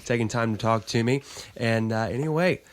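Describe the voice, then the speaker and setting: Middle-aged male radio host, chatty, medium-pitched. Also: moderate pace. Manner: friendly, chatty, promotional. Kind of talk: casual radio interview.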